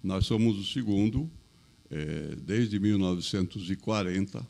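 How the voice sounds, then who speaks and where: An older man answers interview questions in a low, gravelly voice. He speaks plainly and haltingly, often repeating words and phrases as he remembers. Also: dark tone. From Brazil. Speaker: podcast guest, business owner. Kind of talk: casual interview chat.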